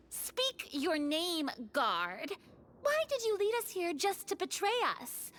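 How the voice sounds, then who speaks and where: A woman's voice: high voice, a bit bright, a little hiss or hum, heavily acted. A woman voicing an earnest, curious young woman in a video game, who sometimes mutters her thoughts aloud. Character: earnest young woman playing along in a role-play.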